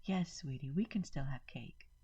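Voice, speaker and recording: A narrator plays a protective mother in a family story. The voice is husky and close to everyday speech, firm and measured, with a slightly dull sound. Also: rumbling low, dark tone. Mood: gentle, reassuring. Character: firm, protective young mother, gentle with her child.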